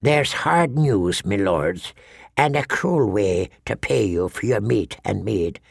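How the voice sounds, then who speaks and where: A man voicing a gruff, sour old member of a sworn brotherhood in an audiobook, blunt and grunting, with a gravelly voice. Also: lowish voice, lively intonation, slow pace, no room sound, studio quality, voice pushed down, full character voice. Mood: grim.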